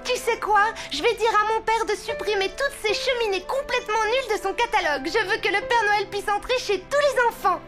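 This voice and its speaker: A woman's voice: extremely high pitch, fairly quick, hardly any pauses, over music. A woman voicing a spirited little girl in a French cartoon, earnest and childlike. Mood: determined. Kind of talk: French animated series dubbing.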